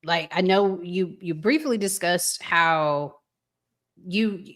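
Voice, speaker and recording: A woman hosting a podcast, interviewing in a conversational, deliberate, question-led way. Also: on the low side, fairly bright tone. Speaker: podcast host.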